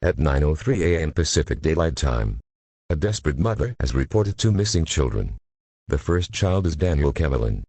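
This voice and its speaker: Male emergency alert announcer, extremely low register, swinging intonation, stilted synthetic read of formal scripted warnings. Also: sing-song, normal speed, mellow, husky, dry recording.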